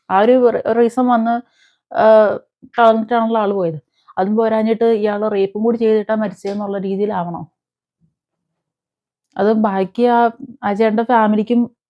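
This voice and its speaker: A woman chats to her viewers in a casual commentary style. Her voice sits at an ordinary female pitch, and she speaks haltingly, pausing to find words. The recording is a little dull and lo-fi. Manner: halting, searching for words, with frequent hesitant pauses. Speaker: YouTube commentator. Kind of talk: casual reaction vlog talk to viewers. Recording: dull, lo-fi sound.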